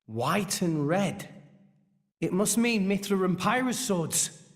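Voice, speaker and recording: A man's voice: high-pitched, animated, takes it slowly. An actor playing an earnest, determined teenage boy hero in a video game cutscene, speaking plainly. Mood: surprised. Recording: echoing hall, music behind.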